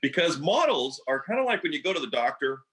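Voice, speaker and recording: A man's voice: on the high side, sing-song, quick pace, a bit bright, low-quality recording. Easygoing fifties meteorologist, man, explains plainly with everyday comparisons. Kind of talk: panel talk explaining forecasts.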